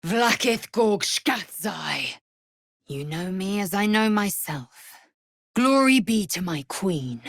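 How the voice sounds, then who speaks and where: An actress playing a fierce, proud alien warrior woman in a video game, with a raspy voice and curt, imperious, formal diction. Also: mid-range voice, expressive, slow, dry recording, studio quality. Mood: devout, solemn.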